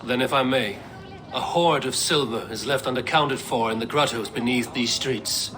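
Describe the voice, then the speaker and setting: An actor playing a steadfast Viking warrior, calm and solemn, in a dramatic game cutscene. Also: male voice, mid-range voice, normal speed, close to an everyday voice. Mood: resolute. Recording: orchestral music behind.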